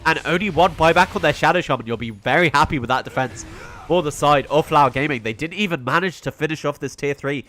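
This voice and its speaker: A man's voice: on the high side, fast, fairly bright tone, slight background noise. A young British man giving solo play-by-play commentary on a live esports stream, chatting with his viewers between plays. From Britain. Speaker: esports caster.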